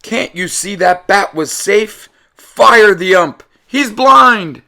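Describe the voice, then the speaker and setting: A man voicing excitable storybook bat fans, hollering from the stands of a ballgame. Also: high voice, takes it slowly, creaky, voice pushed up, heavily acted. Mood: outraged. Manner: shouting, heated.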